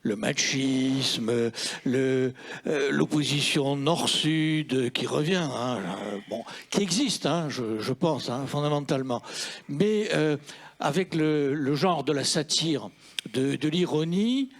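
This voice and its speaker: A man in the audience asking a question at a festival round table, halting and full of fillers. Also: mid-range voice. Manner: halting, many fillers and restarts. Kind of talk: hesitant question from the floor.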